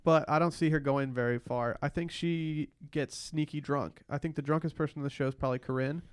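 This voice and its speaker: A man's voice: fairly high pitch, steady tone, fairly quick, clean tone. A man hosting a podcast, chatting casually and jokingly as he recaps a TV show. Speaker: podcast host.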